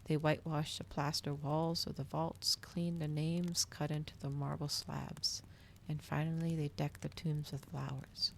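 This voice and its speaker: Woman reading as soft, creaky vampire girl. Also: low-pitched, a little slow, a little hiss or hum. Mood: calm, inscrutable. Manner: soft, calm. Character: inscrutable, composed vampire girl child.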